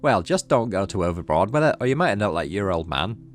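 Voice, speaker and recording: Man putting on a playful, animated easygoing dad. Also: low voice, fast, a little hiss or hum. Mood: playful, cheery. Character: playful, easygoing middle-aged dad, a colonist farmer. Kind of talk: reading game dialogue in character.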